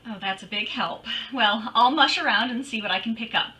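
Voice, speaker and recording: A woman's voice: a little high, fairly quick, bright, forceful tone, low-quality recording. A woman playing a wry, confident, wisecracking private detective in old-time radio style, breezy and flippant. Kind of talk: 1940s radio acting, narration and dialogue.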